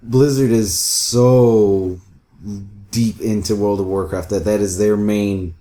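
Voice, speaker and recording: A man's voice: on the low side, steady tone, takes it slowly, warm tone. A man explaining video game matters at length in a casual, matter-of-fact discussion. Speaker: co-host.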